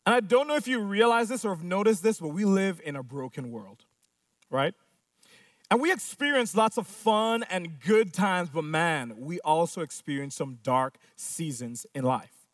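A man's voice: high voice, expressive, normal speed, dry recording, clean studio sound, distant mic. A pastor preaching a sermon, driving his points home with repeated phrases and rhetorical questions.

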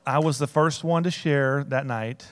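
A man reading a personal testimony to a group, plain-spoken and loud. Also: medium pitch, a little slow. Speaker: speaker sharing a testimony.

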